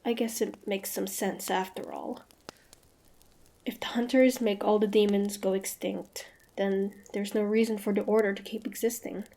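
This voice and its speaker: A woman playing a wary, sharp-tongued young demon hunter with a prickly but grudgingly softening manner, in a fantasy roleplay audio drama. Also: medium pitch, level tone, moderate pace, close to an everyday voice. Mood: pensive. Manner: guarded, sharp-tongued, tsundere.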